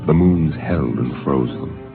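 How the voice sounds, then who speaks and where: A man narrating a 1950s radio drama in a measured, atmospheric storytelling manner. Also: extremely low pitch. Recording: narrow-band vintage radio with music underneath.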